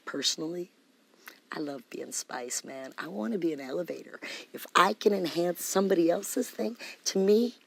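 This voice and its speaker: Husky-voiced woman telling lively stories. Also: lowish voice, moderate pace. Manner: animated, laughing, says "man" often. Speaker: guest musician. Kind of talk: casual interview storytelling.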